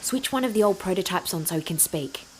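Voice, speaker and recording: A woman's voice: on the low side, expressive, a little hiss or hum, close to an everyday voice, rough recording. A woman playing a driven inventor and team leader in an audio drama, decisive and commanding. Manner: decisive, brisk orders.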